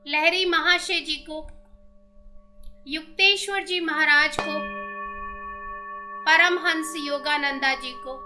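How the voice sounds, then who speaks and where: An Indian woman leading a guided meditation in a measured, devotional manner with long pauses. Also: sits high. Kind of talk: guided meditation, slow instructions and invocations. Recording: meditation music with singing bowls behind. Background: soft music and singing bowl tones.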